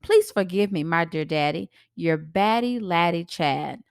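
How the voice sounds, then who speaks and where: Woman as a cheeky young son, lively pitch. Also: unhurried, creaky, clear, resonant tone, no room sound, studio quality. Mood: remorseful, pleading. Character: cheeky young runaway son, wheedling and dramatic.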